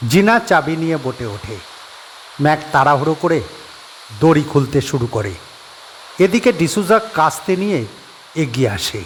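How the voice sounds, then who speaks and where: A man narrating a horror audio story in a steady, measured storytelling voice. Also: on the high side, a little hiss or hum, low-quality recording.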